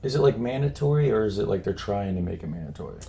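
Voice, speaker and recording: A Midwestern American man with a low, gravelly voice. He co-hosts a relaxed reaction chat, wandering through his points with plenty of fillers and restarts. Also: fast talker, slight background noise. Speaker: reaction channel co-host. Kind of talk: casual chat reacting to a video.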